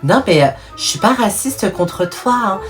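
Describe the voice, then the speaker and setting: A woman's voice: medium pitch, animated, measured pace, music in the background. A woman from France hosting a reaction video, commenting in an outspoken, mocking, exasperated way. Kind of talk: casual commentary over clips.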